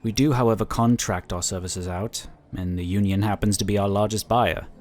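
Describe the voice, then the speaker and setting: A man's voice: on the low side, fairly even intonation, fairly quick, a little mellow, lightly acted. A man playing an affable, talkative and polite psionic inquisitor in a sci-fi audio drama. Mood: candid, explanatory. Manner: talkative, easygoing, explanatory.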